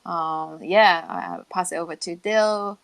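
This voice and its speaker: A woman's voice: on the low side, sing-song, a little slow, creaky. A woman musician co-hosting a casual live online panel, rambling and thinking aloud as she goes. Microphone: live audio chat room on a personal device.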